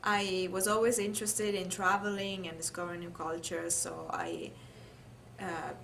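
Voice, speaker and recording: A woman's voice: on the low side, normal speed, fairly bright tone, low-quality recording. A woman from Italy with an Italian accent, thoughtfully recalling her life in an interview. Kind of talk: oral history interview, personal recollection. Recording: clear background noise, room ambience.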